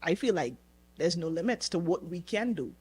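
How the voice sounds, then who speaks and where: A woman's voice: fairly low pitch, animated, moderate pace, a little mellow, husky. A woman from the Bahamas with a Bahamian accent, talking casually as an interview guest and often checking in with "you know" and "right?". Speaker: podcast guest, entrepreneur.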